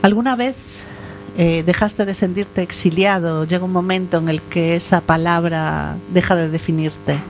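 A woman hosts a panel and puts encouraging questions to her guests. Her voice sits a little low, with faint music playing under it. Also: fairly even intonation, normal speed. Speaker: host. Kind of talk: interviewing.

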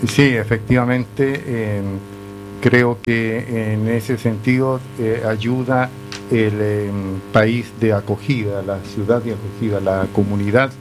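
An older man from Chile with a Chilean accent, speaking as a panel guest in a measured, hesitant way full of fillers. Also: fairly low pitch, level tone, poor audio. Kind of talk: reflective conversation. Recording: music playing underneath.

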